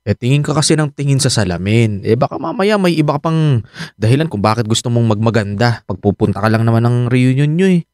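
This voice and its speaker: An actor playing a teasing, suspicious husband in a radio drama, with a Filipino accent. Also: male voice, medium pitch, lively intonation, quick pace, darkish tone, no room sound, studio quality, close to an everyday voice.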